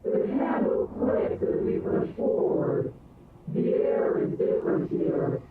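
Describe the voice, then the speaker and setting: An actress voicing a possessed, bitter and unyielding princess spirit in a horror game, with a breathy, slow, deliberate delivery. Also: female voice, lightly acted. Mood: cold control. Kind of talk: dramatic visual novel voice acting. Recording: echoing, muffled, noisy game audio.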